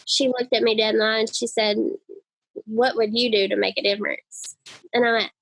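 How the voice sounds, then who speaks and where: A woman speaks in a high, girly voice, telling her story with chatty enthusiasm. She is a guest in a casual podcast interview. The sound is dry and close. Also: steady tone, brisk, gravelly, a bit bright, dry recording, rough recording.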